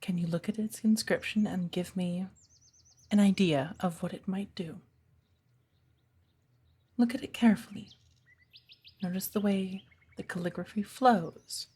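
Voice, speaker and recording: A woman playing a stern, patient witch who teaches an apprentice in a soft, breathy ASMR roleplay, speaking in a measured, formal, commanding way. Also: mid-range voice. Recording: birdsong behind the voice. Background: birdsong, woodland ambience.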